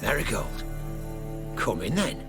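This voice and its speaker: A man's voice: mid-range voice, big pitch swings, takes it slowly, vocal fry, exaggerated voice. A man playing a suspicious, brusque gate guard in a video game, speaking in a challenging way. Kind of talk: video game dialogue acting. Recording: loud music behind the voice.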